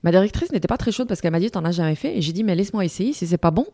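Low-voiced Moroccan-born woman journalist. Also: racing, no echo, studio quality. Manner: lively, restarts often, many fillers. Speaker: journalist and TV news presenter. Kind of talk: interview, recounting her career.

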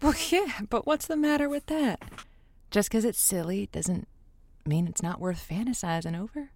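A woman's voice: mid-range voice, animated, normal speed, a little mellow, no room sound. An actress playing an effusive, coaxing female radio host who gives chatty, encouraging romance advice in an audio drama. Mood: playful. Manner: effusive, coaxing, pet names for listeners. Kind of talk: semi-improvised audio drama, late-night call-in radio host.

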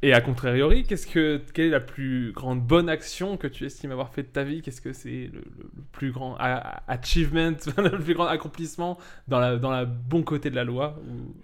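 A man hosting a podcast in a casual, joking way, tossing in quick asides. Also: medium pitch. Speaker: podcast host. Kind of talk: casual chat.

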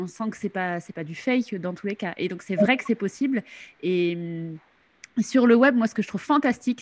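A woman hosting an interview, enthusiastic, with fillers and restarts. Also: mid-range voice. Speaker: podcast host, interviewer. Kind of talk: casual interview talk.

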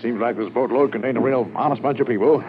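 An actor playing an affable, watchful customs officer with an easygoing chatty manner in a 1950s radio drama. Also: male voice, mid-range voice, fast, close to an everyday voice. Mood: relaxed, matter-of-fact. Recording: muffled, noisy 1950s radio recording.

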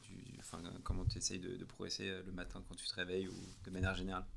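Deep-voiced man hosting a podcast. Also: monotone, gravelly, a bit bright. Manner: halting questions, frequent short acknowledgments. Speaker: podcast host. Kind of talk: casual podcast interview.

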